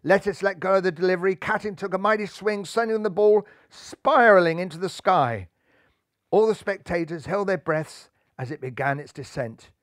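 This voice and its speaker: A man's voice: high voice, normal speed, fairly bright tone, no echo, clean studio sound. A man narrating a children's animal story aloud in a playful, theatrical way.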